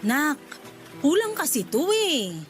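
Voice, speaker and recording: Pushy filipina nanay, middle aged, high voice, wheedling, radio drama. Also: female voice, sing-song, takes it slowly, over music, exaggerated voice. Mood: fretful. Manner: nagging, wheedling. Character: demanding, money-minded, wheedling middle-aged Filipina mother. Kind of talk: Tagalog radio drama acting.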